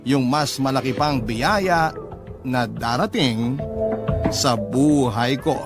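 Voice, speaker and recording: A man narrating a letter as a radio love-story storyteller, in a measured, sentimental, dramatic way. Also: mid-range voice, loud music behind the voice.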